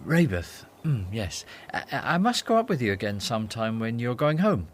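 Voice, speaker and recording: An actor with a Northern Irish accent playing Judas, a teasing, sardonic disciple who cares most about money, in a radio drama. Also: male voice, mid-range voice, very animated, normal speed, slight background noise, natural voice. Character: Judas, scheming money-minded male disciple.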